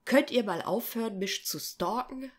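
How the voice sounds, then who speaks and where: An actress playing a snippy, irritated young student assistant with a husky voice in a parody radio play. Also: female voice, a little high, moderate pace, lightly acted. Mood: annoyed.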